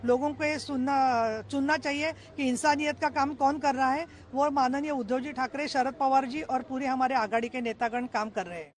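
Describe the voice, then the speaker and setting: High-pitched woman politician. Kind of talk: political remarks to the press.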